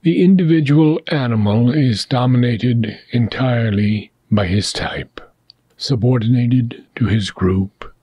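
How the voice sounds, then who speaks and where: A man reading a philosophical text aloud as an audiobook, in a slow, measured way, his phrase ends often sinking into whispers. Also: medium pitch, slightly rough, dry recording, dull audio. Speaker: reader.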